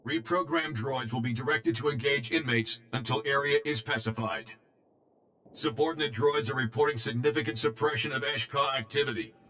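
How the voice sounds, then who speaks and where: An actor voicing an emotionless warden security droid in a video game, speaking in terse, formal monotone phrases. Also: male voice, medium pitch, brisk, a little hiss or hum, close to an everyday voice. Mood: flat, official.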